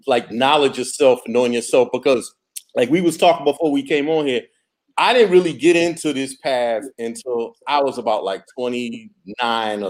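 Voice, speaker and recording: A man hosting a podcast, talking in an enthusiastic, slangy, casual way. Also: medium pitch. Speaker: podcast host.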